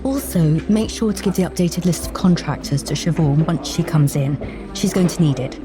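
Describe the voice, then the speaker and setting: A woman's voice: deep voice, moderate pace, music in the background, close to an everyday voice. A woman voicing an anxious, overworked female boss of a fashion company, fretful and prone to muttering, in an audio drama. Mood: brisk.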